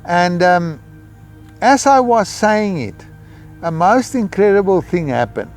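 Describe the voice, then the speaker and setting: A middle-aged man shares a personal testimony in a South African Afrikaans accent. His voice sits a little higher than most men's. He speaks reflectively, pausing often as he searches for words, while soft music plays behind him. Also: animated. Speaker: missionary giving a testimony. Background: background music.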